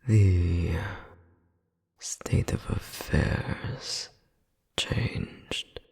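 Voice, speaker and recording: A man voicing a cold, condescending royal demon older brother in an audio roleplay, speaking formally and deliberately in a breathy, gravelly voice. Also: fairly even intonation, a little mellow, big-room sound, full character voice. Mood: reproachful, haughty. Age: adult, centuries-old demon. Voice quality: breathy, gravelly low whisper. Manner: formal, haughty, slow and deliberate. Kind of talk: dramatic audio roleplay monologue.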